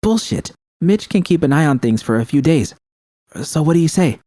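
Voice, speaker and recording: A man's voice: a little high, sing-song, normal speed, mellow, no echo, studio quality, pitched above the performer's own voice. A man voicing a brash, impatient, loyal and foul-mouthed nomad woman in a story, blunt and urgent. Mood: brisk.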